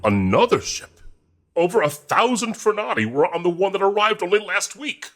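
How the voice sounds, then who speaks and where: An actor playing a weary, reluctant president in an audio drama, speaking in a curt, resigned manner. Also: male voice, sits high, expressive, moderate pace, a bit bright. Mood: wary. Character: weary, reluctant male president of a conquered Earth.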